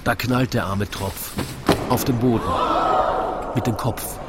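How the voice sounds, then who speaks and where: A man narrating a scripted rhyming story in a theatrical, deliberate storytelling manner. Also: medium pitch, fairly even intonation, very noisy.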